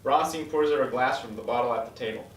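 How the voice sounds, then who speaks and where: A man narrates stage directions from a script at a quick clip. His pitch is middling, and the room rings around him as the mic picks him up from a distance. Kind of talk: scripted narration at a table read. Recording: echoey room, distant mic, faint noise.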